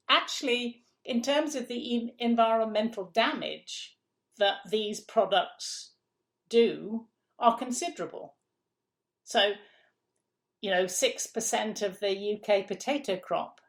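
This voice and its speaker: Gravelly-voiced woman, emphatic and questioning. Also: mid-range voice. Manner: emphatic, repeats key phrases, rhetorical questions. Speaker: food policy guest. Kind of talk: conversational interview answer.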